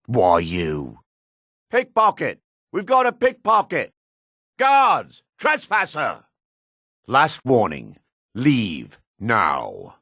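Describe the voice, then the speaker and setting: A gruff dark elf warrior in a fantasy video game. His voice is gravelly and husky, recorded very dry and close. He is proud and combative, with a theatrical delivery. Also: medium pitch, huge pitch swings, very slow, no room sound, exaggerated voice. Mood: alarmed, then stern warning. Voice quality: gravelly, rasping.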